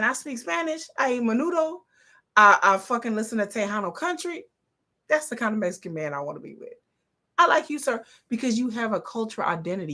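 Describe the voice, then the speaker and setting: A woman's voice: medium pitch, bright, forceful tone. A woman from the northern United States, talking in a blunt, rambling, profane monologue as host of a commentary channel. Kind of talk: casual opinion monologue.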